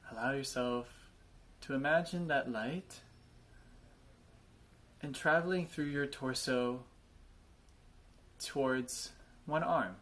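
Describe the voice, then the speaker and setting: A man leading a guided body scan meditation, speaking in gentle invitations with frequent pauses. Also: medium pitch.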